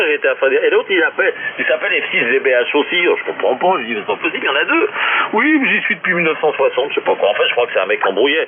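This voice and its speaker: A man chatting casually with friends as an amateur radio operator, jokey, rambling and slangy, over a narrow, noisy shortwave transmission. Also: on the high side.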